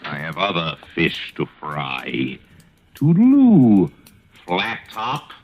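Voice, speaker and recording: An actor playing a vain, flamboyant theater star with a mocking, pompous delivery, in 1940s radio style. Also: male voice, low voice, wildly swooping pitch, takes it slowly, soft background music, heavily acted. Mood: scornful, mocking. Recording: muffled, dull old radio recording.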